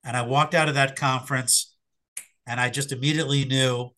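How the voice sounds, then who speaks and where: Middle-aged male guest, slightly hoarse, bright sound, thoughtful and halting delivery. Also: medium pitch, fairly even intonation. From Philadelphia area. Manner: reflective, hesitant with fillers and restarts. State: hoarse after covid. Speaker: sports psychologist guest. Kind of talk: casual interview answers.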